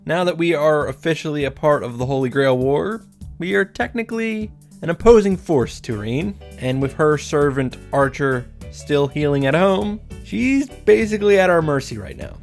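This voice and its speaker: A man's voice: a little high, lively intonation, normal speed, vocal fry. A man hosting a casual scripted recap of a visual novel, with a wry, joking delivery. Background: faint music.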